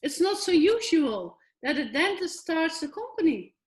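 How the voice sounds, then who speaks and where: High-voiced woman hosting a talk show. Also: very animated, moderate pace. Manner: friendly, prompting questions. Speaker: show host.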